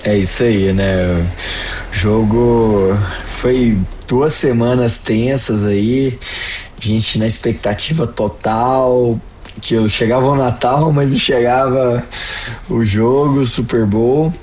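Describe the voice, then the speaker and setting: A hoarse Brazilian man opens and hosts a casual sports podcast, drawing out his words at a very slow pace. Faint noise sits behind his voice. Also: medium pitch, steady tone, darkish tone. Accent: Brazilian Portuguese. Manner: slow, drawn-out delivery. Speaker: podcast host.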